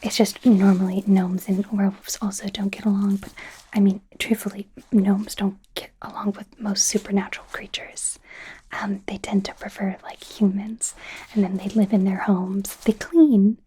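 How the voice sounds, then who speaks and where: A woman voicing a young werewolf medical student in an ASMR roleplay, in a soft, breathy whisper with a hesitant, rambling, self-conscious manner. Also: mid-range voice, level tone, normal speed. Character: young female werewolf medical student, awkward, eager, kind.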